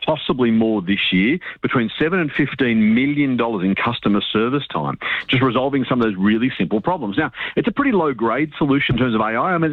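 Fast-talking man on a phone line. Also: mid-range voice, husky, no room sound. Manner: chatty, self-deprecating, explains plainly. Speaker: finance commentator. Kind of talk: radio finance segment. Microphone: phone line into studio.

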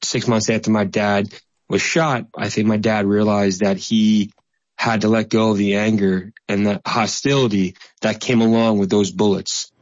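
A man recalling family memories in an interview, pausing often with "uh". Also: lowish voice, fairly even intonation, no room sound, lo-fi sound. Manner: conversational with frequent "uh" pauses. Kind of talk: casual interview recollection.

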